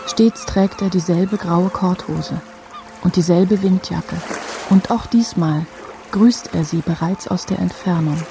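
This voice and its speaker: A woman narrating a radio drama in a measured, literary, repetitive manner. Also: fairly low pitch, vocal fry. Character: detached female storyteller linking scenes. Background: music.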